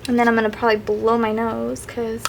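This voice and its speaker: A woman's voice: on the high side, monotone, fairly quick, gravelly, heavy background noise. A young woman chatting casually and a bit rambling while she works on her planner, sounding sniffly from crying. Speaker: planner vlogger. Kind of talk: casual craft vlog chat.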